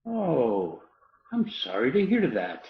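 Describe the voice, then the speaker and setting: Man acting proper clergyman, prim, formal, polite, animated pitch, radio comedy, roomy sound. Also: background noise, heavily acted. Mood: polite, sympathetic. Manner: stiff, formal, measured phrasing. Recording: echoey room, slightly dull sound.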